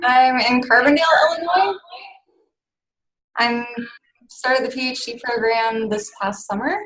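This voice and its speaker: Woman PhD student, steady intro over a video call, higher voice. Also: unhurried, slight background noise, low-quality recording. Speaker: doctoral student and instructor. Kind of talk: class self-introduction.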